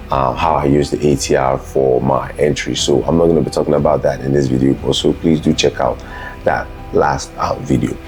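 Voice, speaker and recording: Very deep-voiced man explaining step by step. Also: darkish tone. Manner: unscripted, methodical, repeats key points. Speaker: host, trading tutor. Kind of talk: instructional screen walkthrough, explaining charts.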